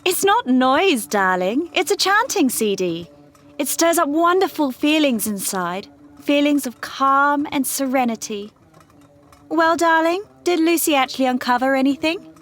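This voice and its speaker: A woman's voice: sits high, lively intonation, measured pace, a bit bright. A woman playing a loving, hippyish wife in an audio drama, gushing and full of endearments. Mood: loving, dreamy.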